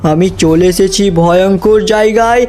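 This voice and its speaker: A man's voice: high-pitched, normal speed, a little mellow. A man hosting a gaming video, telling the story as he plays and constantly addressing viewers with exclamations. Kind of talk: excited gameplay storytelling commentary.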